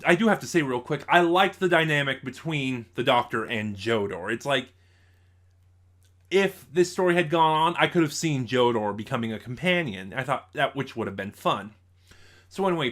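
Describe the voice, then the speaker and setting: A man giving a casual review and story recap, speaking haltingly with asides and self-corrections. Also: mid-range voice, expressive, a bit bright. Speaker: audio drama reviewer.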